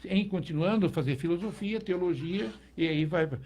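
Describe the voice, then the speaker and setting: Middle-aged man recalling at length, fairly high voice. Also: moderate pace, a little mellow. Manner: reflective, with frequent restarts and tag questions. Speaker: guest, teacher. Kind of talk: conversational interview.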